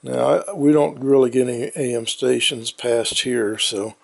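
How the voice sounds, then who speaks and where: Gravelly man explaining an old radio. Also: medium pitch, measured pace. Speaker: presenter demonstrating an antique radio. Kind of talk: informal unscripted technical explanation.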